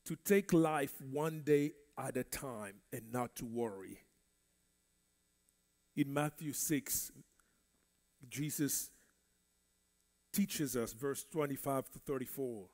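A man's voice: mid-range voice, darkish tone. A man from the Congo whose first language is French, teaching a Bible study in a church in a conversational preaching style. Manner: conversational preaching, repeats words. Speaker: minister.

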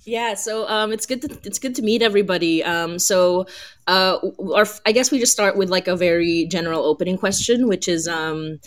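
A woman's voice: on the low side, moderate pace. A woman co-hosting a casual live podcast chat in an informal, hesitant manner. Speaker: podcast co-host.

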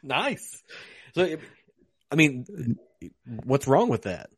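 A man from Western Kentucky hosting a podcast, chatting in a quick, wry, teasing way over whiskey. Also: mid-range voice, sing-song, husky, no room sound. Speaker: podcast host. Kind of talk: casual chat over whiskey.

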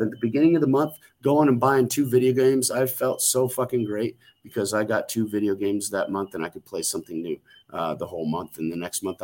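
A man's voice: medium pitch, darkish tone, breathy edge. A middle-aged man talking on a podcast in a casual, blunt way, swearing freely and restarting his sentences. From United States. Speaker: podcast host. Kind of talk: casual chat.

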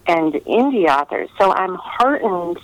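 A middle-aged woman giving earnest, conversational interview answers, pausing often to find her words over a remote line. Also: fairly low pitch, expressive, dry recording, low-quality recording. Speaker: interviewed historical novelist.

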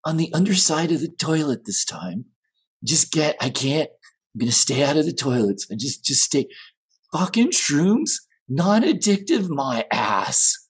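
A man playing an insecure, aging rock singer in an improvised scene, rambling and stammering in a husky voice. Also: fairly high pitch, big pitch swings, a little slow, exaggerated voice. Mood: panicked, ranting. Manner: rambling, stammering, repetitive, sweary. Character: needy, insecure aging male rock singer, past his prime. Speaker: actor in an improvised theater scene. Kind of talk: improvised monologue and dialogue acting.

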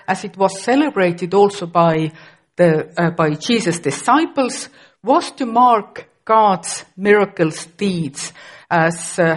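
Estonian woman preaching in english, middle-aged, takes her time, restarts now and then. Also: fairly low pitch. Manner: slow, careful delivery with occasional restarts. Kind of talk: sermon.

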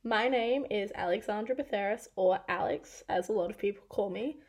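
A woman in her twenties with an Australian accent, hosting a casual solo podcast with a croaky, raspy voice from losing it this week, chatty and self-deprecating. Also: mid-range voice, moderate pace, a little mellow. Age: 20s. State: croaky, half-lost voice. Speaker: podcast host.

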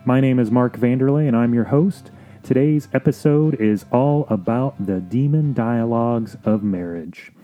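A man with an American accent hosting an explanatory podcast as a counselor, talking in a measured, earnest way with pauses. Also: medium pitch, mellow, dull audio, faint music behind. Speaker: podcast host and family counselor.

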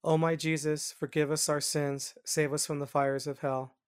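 A man leading the rosary, reciting prayers in a measured, formulaic way. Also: a little high, level tone, clear, resonant tone, no room sound, studio quality.

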